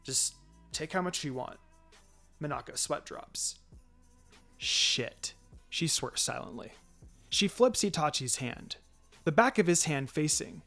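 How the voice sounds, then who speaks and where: A narrator reading a fan fiction story aloud in a storytelling style, acting out the dialogue. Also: mid-range voice. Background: background music.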